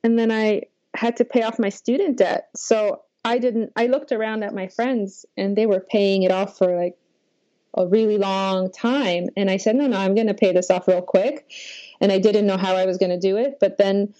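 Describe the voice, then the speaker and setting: A woman's voice: mid-range voice, steady tone, normal speed, dark tone, dry recording, highs cut off. Polish-born woman, Polish first language, guest telling her life story; meandering and reflective, dry close sound. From Poland. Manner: rambling, reflective, frequent "like". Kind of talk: personal life story in an interview.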